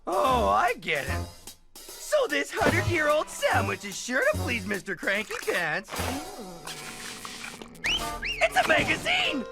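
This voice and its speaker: A performer voicing a goofy, excitable cartoon oddball with exaggerated, gleeful delivery. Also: high-pitched, slow, vocal fry, pauses often, full character voice. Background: loud music.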